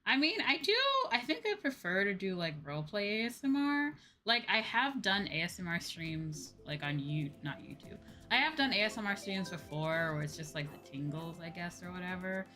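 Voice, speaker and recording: A woman's voice: lowish voice, expressive, normal speed, few pauses, sharp tone. A woman streamer chatting casually with viewers as she games, rambling, repeating phrases and swearing freely. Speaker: streamer, VTuber host. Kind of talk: casual chat with viewers while gaming. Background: video game music.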